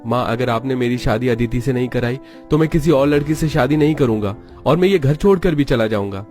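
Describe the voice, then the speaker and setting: An earnest young cartoon husband pleads his case and stands by his bride, as a man voices him. His voice is plain and mid-pitched. Light music plays underneath. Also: fairly quick, a little mellow, lightly acted.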